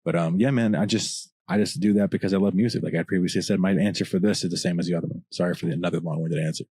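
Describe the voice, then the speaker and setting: A man with a deep voice, a rapper talking as a podcast guest, passionate, swearing often and rambling in long answers. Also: steady tone, fast talker, darkish tone, breathy edge, no echo. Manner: passionate, profane, long-winded. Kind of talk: casual candid chat.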